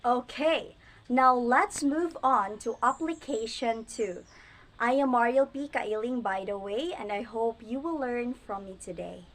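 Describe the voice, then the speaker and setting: A woman's voice: fairly high pitch, unhurried, fairly bright tone. A young woman presenting a math lesson as a student reporter, explaining each step carefully. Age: young adult.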